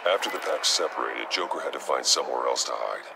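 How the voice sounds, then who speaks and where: Very deep gravelly vigilante. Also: male voice, expressive, fast talker, background noise, full character voice. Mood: focused, businesslike. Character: grim masked adult male vigilante, terse, steady mentor. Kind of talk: video game voice acting. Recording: music underneath.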